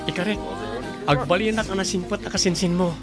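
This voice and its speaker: A man's voice: high-pitched, brisk, over music, lightly acted. A man acting in an Ilocano radio drama as a cheerful cousin and loyal best man.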